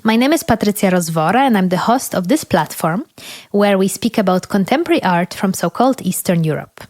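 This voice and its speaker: Woman podcast host, measured scripted delivery, dry close sound, mid pitch. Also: lively intonation, a little mellow, no room sound. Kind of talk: scripted podcast intro, then interview questions.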